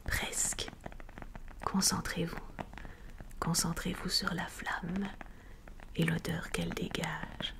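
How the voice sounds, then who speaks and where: A woman whispering a medical exam roleplay as a doctor, gently guiding and encouraging the listener in a deliberate manner. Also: fairly low pitch, little pitch movement, vocal fry, low-quality recording. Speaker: ASMR performer playing a doctor.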